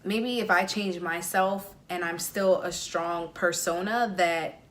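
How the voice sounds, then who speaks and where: A woman's voice: on the low side, fairly even intonation, slow. A young woman, talking candidly as an interview guest, laughing often and dropping "like" and "you know" between phrases. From New York. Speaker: interview guest, makeup artist. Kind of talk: casual interview chat.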